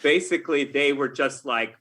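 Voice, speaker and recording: An American man chatting as an interview guest in a casual, halting way, thinking aloud. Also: mid-range voice, fairly bright tone. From United States. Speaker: podcast guest, game writer.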